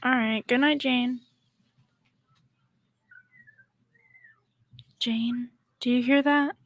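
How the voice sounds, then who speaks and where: A woman's voice: a little high, fairly even intonation, unhurried, close to an everyday voice. A young female performer playing a dim-witted, sweet, naive secretary in old radio comedy style. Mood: innocent, spooked. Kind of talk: 1940s radio comedy acting.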